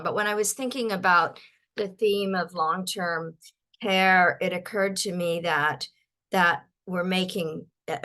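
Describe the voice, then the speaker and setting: An older woman from Ontario giving a webinar talk, her voice a little croaky, halting often with fillers and restarts. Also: on the low side, bright, forceful tone. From Ontario, Canada. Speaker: guest speaker.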